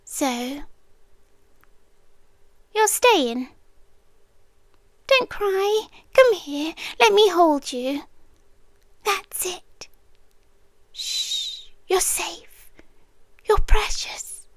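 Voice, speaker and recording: Woman as a very high-voiced, slow British monster lover. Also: lively intonation, dry recording, full character voice. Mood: soothing, possessive. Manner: slow, hushed, coaxing, often drops into whispers. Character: centuries-old possessive female monster, sweetly menacing hoarding lover. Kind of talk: ASMR roleplay.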